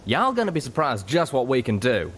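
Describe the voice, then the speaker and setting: Man acting male cartoon pegasus, Southern US drawl, higher pitch, brash, folksy, hot-headed, storybook reading. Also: sing-song, a little fast, fairly bright tone, a little hiss or hum. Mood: defiant. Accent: Southern US cowboy drawl.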